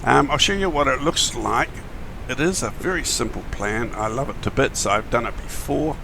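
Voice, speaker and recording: A man, his voice gravelly and croaky in the morning, chatting casually and with many fillers as he streams a flight simulator. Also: medium pitch, normal speed, noisy background. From New Zealand. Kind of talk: casual live-stream chat.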